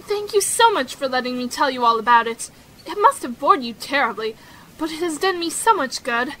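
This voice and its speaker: A woman's voice: sits high, animated, normal speed, sharp tone, rough recording. A woman acting a candid, outspoken, headstrong young girl in a period romantic audio drama, with a theatrical, emphatic manner. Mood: relieved.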